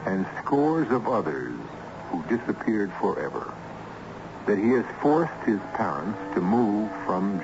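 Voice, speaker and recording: Very low male voice, slow, ominous theatrical narrator, radio mystery host, muffled noisy vintage recording. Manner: measured, ominous, theatrical delivery. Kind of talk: scripted old-time radio mystery narration.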